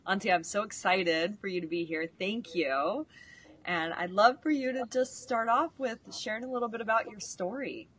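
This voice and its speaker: A woman hosting a podcast, introducing her guest in a gushing, slow way with many fillers. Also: fairly low pitch, a bit bright, slight background noise. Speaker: podcast host.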